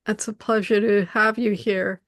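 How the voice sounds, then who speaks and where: A woman's voice: medium pitch, fairly even intonation, measured pace, fairly bright tone, no echo. Encouraging woman interview host. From Tanzania, raised in Canada. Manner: encouraging, frequent short affirmations. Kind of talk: friendly interview hosting.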